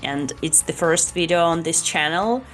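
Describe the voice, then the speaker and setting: A Russian woman in her late twenties who speaks English with a Russian accent and whose native language is Russian, introducing her vlog as an English teacher in a slightly shy, hesitant way with frequent pauses. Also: low-pitched, faint music behind. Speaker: English teacher and channel host. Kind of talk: casual talking-head vlog introduction.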